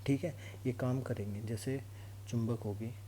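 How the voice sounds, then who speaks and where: A man's voice: medium pitch, fairly even intonation, moderate pace, warm tone. A man teaching an informal lesson, explaining in an instructional way and often checking that students follow. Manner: instructional, repeatedly checks understanding. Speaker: physics teacher for exam students. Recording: clear background noise with hum.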